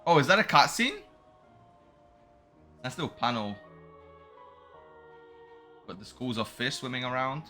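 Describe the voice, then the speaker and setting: A man's voice: medium pitch, sing-song, measured pace, long pauses, bright, forceful tone, faint music behind. A young man giving casual, slangy gameplay commentary, musing aloud as he goes along. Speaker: game streamer.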